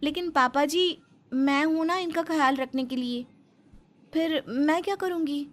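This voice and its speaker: A woman's voice: high voice, unhurried, pitched above the performer's own voice. A woman voicing a young daughter-in-law in a family story, dutiful but outspoken, protesting as she speaks. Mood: puzzled. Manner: pleading, protesting.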